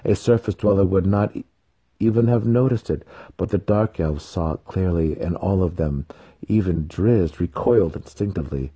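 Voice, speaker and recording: A man's voice: deep voice, normal speed, mellow, breathy edge. A man reading fantasy fiction as a grave, dramatic scripted narration. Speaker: audiobook narrator.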